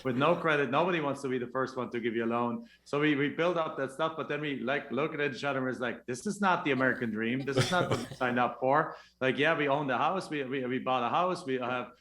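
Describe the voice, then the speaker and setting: A man from Germany, a podcast guest, telling his story in a lively, casual way and laughing as he talks. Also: mid-range voice, fast talker, few pauses, a little hiss or hum. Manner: lively, laughs while talking. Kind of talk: casual interview talk. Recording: roomy echo.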